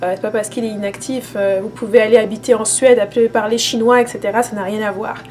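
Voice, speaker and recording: A woman speaks casually to her camera as a spiritual teacher, her voice sitting at an ordinary middle pitch. She talks hesitantly, with frequent fillers and restarts. Soft music plays quietly behind her. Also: steady tone, quick pace.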